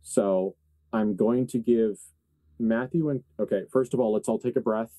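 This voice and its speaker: Dark, mellow low-voiced man running a game. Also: fairly even intonation, moderate pace, dry recording. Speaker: game master. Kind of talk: narrating a horror tabletop game, casual asides.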